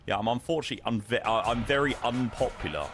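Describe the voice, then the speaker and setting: A man's voice: medium pitch, expressive, fast talker, over music. A young man with a London accent, chatting casually with viewers while flying a simulator and making radio calls. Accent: London English. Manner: chatty, joking, thanking viewers. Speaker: flight sim streamer.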